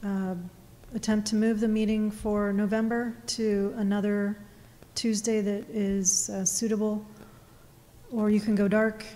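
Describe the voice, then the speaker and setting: A woman's voice: medium pitch, flat delivery, unhurried, dark tone. A woman on city staff, briefing a public meeting, hesitant with many fillers and restarts, her voice worn. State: losing her voice. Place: council meeting room. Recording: distant reverberant hall, faint noise.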